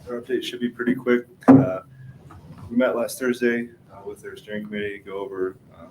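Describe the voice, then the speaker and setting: A man giving an informal project update at a meeting, with a hesitant, halting delivery. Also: low-pitched, darkish tone. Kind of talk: informal progress presentation. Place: indoor council meeting room. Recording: faint background noise.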